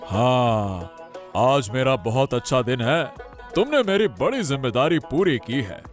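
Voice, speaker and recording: A man plays a cartoon father-in-law who rules the house with firm, fatherly authority. His voice sits at an ordinary pitch, with faint music underneath. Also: animated, measured pace, natural voice. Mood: reassuring. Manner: firm, reassuring, scolding. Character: stern but kind middle-aged father-in-law, head of house. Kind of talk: cartoon story dialogue. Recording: soft background music.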